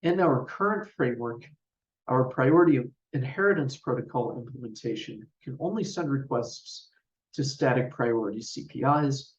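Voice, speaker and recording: Guy reading out a technical conference talk, measured and formal, mid pitch, sounds away from the mic. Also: a little mellow. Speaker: researcher presenting a paper. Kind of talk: scripted technical conference presentation. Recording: roomy, distant mic, dull sound.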